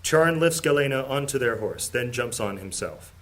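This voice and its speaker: A man narrating an audio drama in a steady, descriptive way. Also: mid-range voice, normal speed. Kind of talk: scripted narration.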